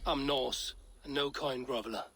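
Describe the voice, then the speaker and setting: A man's voice: mid-range voice, steady tone, normal speed, sharp tone, a little hiss or hum. A man playing a stoic, blunt Norse warrior in a video game, speaking in terse, dry lines. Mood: dry, proud. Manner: terse, plain-spoken, dry.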